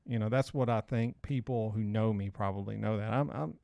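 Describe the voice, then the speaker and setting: A man's voice: fairly low pitch, a little fast, gravelly, dry recording, highs cut off. A man in his forties co-hosting a podcast with casual, slangy banter and rambling storytelling. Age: 40s. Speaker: podcast co-host.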